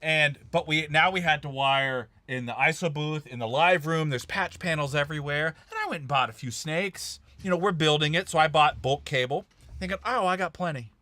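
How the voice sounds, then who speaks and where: Guy from kansas, bright slightly high voice, chatty and jokey, hosting a studio stream. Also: a little fast, no room sound. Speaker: host. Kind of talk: casual studio chat on a live stream.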